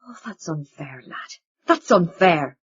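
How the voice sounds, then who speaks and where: A woman's voice: fairly low pitch, very animated, measured pace, breathy edge, dry recording, highs cut off, heavily acted. A woman reading an audiobook, voicing an older father who splutters and exclaims in agitation. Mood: agitated.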